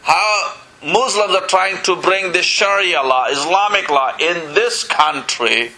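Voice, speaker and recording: A man's voice: sits high, slow, pushed and bright, rough recording. A man from Pakistan, preaching a sermon in church, earnest and full of "you know" and calls of "Amen?". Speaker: pastor.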